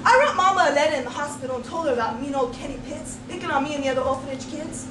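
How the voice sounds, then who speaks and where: A woman telling a comic tall tale as a speech contestant, theatrical and emphatic, with folksy phrasing and dramatic pauses. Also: sits high. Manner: folksy storytelling turns of phrase. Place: indoor speech contest room, distant microphone. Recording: roomy, noisy live recording from far off.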